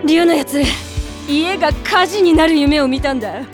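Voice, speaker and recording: A woman's voice: sits high, normal speed, faint music behind, close to an everyday voice. An actress playing a bold, rowdy young woman who teases her little brother, in an anime drama CD. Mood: storytelling. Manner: brash, teasing storyteller.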